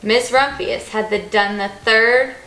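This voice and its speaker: A woman reading a children's picture book aloud as an expressive storyteller. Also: on the high side, unhurried. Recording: roomy echo, faint music behind.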